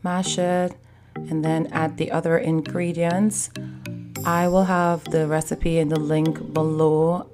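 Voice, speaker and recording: A woman with a slightly low voice talks a viewer through breakfast recipes one step at a time. Her delivery is calm and instructive, like a host demonstrating at a kitchen counter. Quiet music plays underneath. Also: slow pace. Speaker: cooking channel host.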